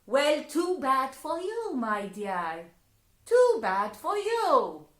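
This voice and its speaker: A woman's voice: high-pitched, very animated, drawn-out words, echoey room, pitched above the performer's own voice, exaggerated voice. Bossy threatening fairies, as a woman puts them on. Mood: scolding. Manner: demanding, scolding, loud. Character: bossy, threatening fairy captors.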